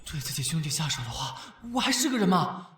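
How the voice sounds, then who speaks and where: A man voicing a flustered, cheeky young man in an animated romance drama, with theatrical, exclamatory delivery. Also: fairly high pitch, fast talker, very noisy. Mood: panicked. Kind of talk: animated drama acting with inner monologue.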